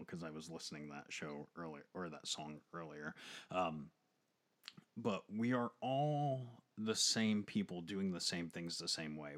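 A man with a fairly low voice hosts a casual podcast. He rambles in a folksy way, piling on enthusiasm as he chats. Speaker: podcast host.

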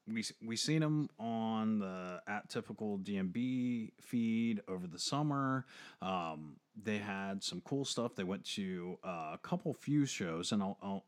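A man hosting a podcast in casual chat, rambling along in a folksy, effusive way. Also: low voice. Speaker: podcast host.

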